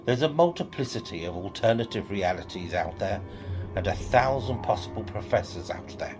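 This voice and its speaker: An actor playing a secretive, self-assured professor from another reality in an audio drama, with formal, theatrical delivery. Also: male voice, fairly low pitch, animated, moderate pace, over music, natural voice. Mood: explaining, evasive. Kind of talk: scripted audio drama acting.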